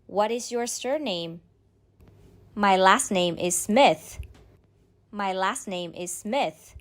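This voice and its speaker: A woman reading a beginner's speaking drill, saying each line slowly and clearly, then repeating it. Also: medium pitch. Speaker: model speaker in a language drill. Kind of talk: slow scripted drill reading.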